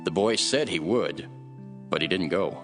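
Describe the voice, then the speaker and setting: An actor playing a calm, authoritative male teacher and prophet in a dramatized scripture reading. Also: low-pitched, measured pace, over music. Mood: instructive.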